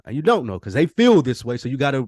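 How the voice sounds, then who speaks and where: A man with a medium-pitched voice talks casually as a podcast co-host. His delivery is emphatic, opinionated and peppered with slang and swearing. The sound is close and dry. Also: very animated, fast, a little mellow, no room sound.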